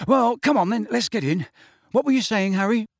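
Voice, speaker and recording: A man's voice: sits high, sing-song, normal speed, breathy edge, no room sound, clean studio sound, voice pushed up, exaggerated voice. A man voicing a blunt, worried and bewildered teenage boy for an audiobook. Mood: gruff, hurried. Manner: blunt, incredulous. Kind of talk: audiobook character acting.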